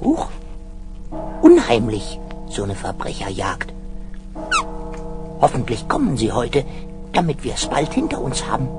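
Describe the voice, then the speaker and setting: A bossy yet soothing cartoon animal, leads the watch in a children's audio play. The delivery is slow but lively in pitch, with music behind. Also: male voice. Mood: reassuring, conspiratorial. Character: calm reassuring cartoon animal leading a guard team.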